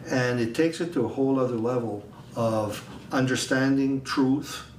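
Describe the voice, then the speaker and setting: A man's voice: mid-range voice, moderate pace, far from the mic. A man speaking informally in an interview, recorded in a reverberant space away from the microphone. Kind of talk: interview comment. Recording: echoey room, off mic, background noise.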